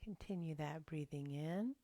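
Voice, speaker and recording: A woman leading a gentle yoga and breathing class, speaking softly and sometimes dropping to a whisper. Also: low voice, no room sound. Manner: slow, soft-spoken and gentle, drifting into whispers. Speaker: yoga instructor.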